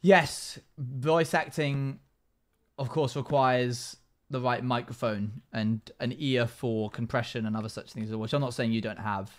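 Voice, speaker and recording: A man's voice: medium pitch, steady tone, measured pace. Young man chatting through a gaming livestream. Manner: chatty, reads chat messages aloud and answers. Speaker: live streamer. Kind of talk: casual gaming stream commentary. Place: small home room, indoors.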